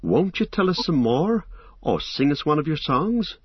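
A man's voice: on the high side, big pitch swings, unhurried, breathy edge, dry recording, dull audio, exaggerated voice. A man voicing a polite young male hobbit, coaxing and courteous.

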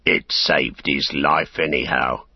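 A man's voice: very deep voice, steady tone, slow, vocal fry, slightly rough, dry recording, dull audio, heavily acted. A man voicing a big, brutish male orc soldier in a storybook, snarling threats like a menacing bully. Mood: threatening.

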